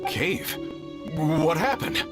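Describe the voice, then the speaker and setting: Earnest male rescue leader: lively intonation, courteous, slightly hesitant; fantasy game, music behind. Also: a little high, drawn-out words. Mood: puzzled.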